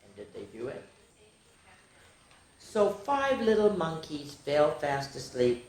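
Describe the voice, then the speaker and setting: Older female children's story host, low-to-mid register for a woman, sing-song reading with chatty asides, roomy echo. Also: sing-song, slow pace, darkish tone, a little hiss or hum. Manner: addresses young listeners directly. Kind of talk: reading nursery rhymes aloud to children. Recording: roomy, echoing room sound.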